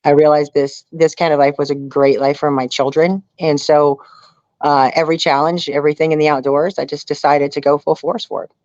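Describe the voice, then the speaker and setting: A woman's voice: low-pitched, little pitch movement, brisk, darkish tone. A woman from Texas chats as an interview guest, telling stories candidly and with some drama. The sound is dull and band-limited, with no room echo at all. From Houston, Texas area. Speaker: interview guest, outdoorswoman and reality show contestant. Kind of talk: casual storytelling in an interview.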